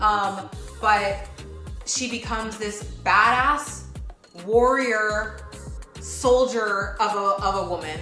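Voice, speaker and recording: A woman's voice: mid-range voice, bright, forceful tone. Woman in a roomy, echoey interview. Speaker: voice actor in an audio drama. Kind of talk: casual, reflective cast interview. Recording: roomy echo with music behind. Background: music.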